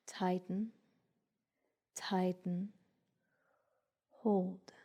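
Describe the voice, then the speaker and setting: A woman with a soft, breathy voice leading a guided meditation in a soothing, unhurried way, leaving long pauses between short instructions. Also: on the low side, little pitch movement.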